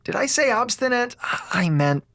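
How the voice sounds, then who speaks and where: Exasperated male space station officer, audio drama, blustering sarcasm, very animated intonation. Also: extremely high pitch, moderate pace, no echo, clean studio sound, exaggerated voice. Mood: flustered, evasive.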